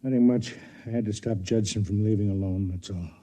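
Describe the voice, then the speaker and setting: An actor playing an old, fat, world-weary space station official who narrates in a measured, deliberate way in a 1950s radio drama. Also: male voice, fairly low pitch, steady tone, dark tone, close to an everyday voice. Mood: weary, evasive. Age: older. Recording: 1950s radio recording with music.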